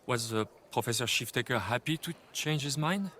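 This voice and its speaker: An actor playing a prim, fawning and squeamish mayor's aide in a video game, affected and obsequious in manner. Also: male voice, medium pitch, normal speed, bright, forceful tone, natural voice. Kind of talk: video game cutscene acting.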